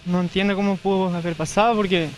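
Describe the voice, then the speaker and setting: A young man with a notably high voice talks haltingly into a handheld reporter mic, stopping and repeating words. He is outdoors, and clear background noise sits under his voice in the field recording. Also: steady tone. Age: young adult. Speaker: homeowner interviewed after fire. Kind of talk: on-the-spot news interview. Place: outdoors at a burned house site.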